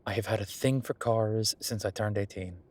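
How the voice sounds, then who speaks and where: A man's voice: on the low side, normal speed, a little mellow, lightly acted. A man playing a friendly, self-deprecating young billionaire in a casual, intimate audio roleplay, rambling informally and playfully defensive as he talks to the listener.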